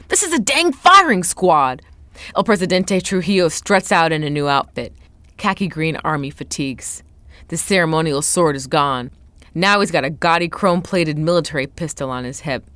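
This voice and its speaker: A woman's voice: deep voice, fairly bright tone, no echo. A woman voicing a frightened male baseball player in a dramatic, suspenseful first-person audiobook reading. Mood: panicked.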